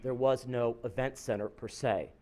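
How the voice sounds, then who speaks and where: Resident guy at a city meeting, measured, setting the record straight. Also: mid-range voice, steady tone, a little mellow. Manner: measured, correcting a prior point. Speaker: resident, public commenter. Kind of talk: public comment at a city meeting. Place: council chamber podium.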